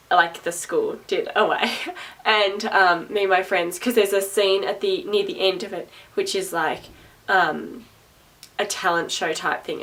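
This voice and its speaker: A young adult woman talks casually to camera in a loud, projecting voice. She is sarcastic and chatty, repeats herself and laughs often. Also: lowish voice. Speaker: vlogger. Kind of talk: rambling casual talk to camera. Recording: voice a little distant from the mic.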